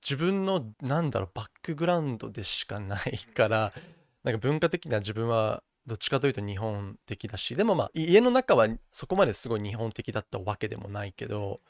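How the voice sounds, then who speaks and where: A man's voice: lowish voice, sing-song, very fast, no room sound, studio quality. A young man born and raised in Japan, chatting as a podcast guest, thinking aloud with many fillers and restarts and switching between English and Japanese. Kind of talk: casual chat.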